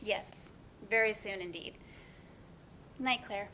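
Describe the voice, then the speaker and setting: A woman's voice: medium pitch, takes it slowly, bright, forceful tone, a little hiss or hum, poor audio. A woman playing a wry, sarcastic young woman with a dry, natural delivery in a play reading. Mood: fond, amused.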